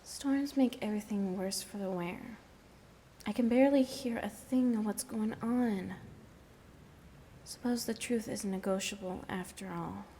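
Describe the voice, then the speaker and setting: A woman's voice: mid-range voice, unhurried, warm tone, a little hiss or hum, lightly acted. A woman playing a weary, no-nonsense carer with a blunt, hesitant manner in naturalistic audio drama. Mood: puzzled. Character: weary, no-nonsense woman tending an injured man.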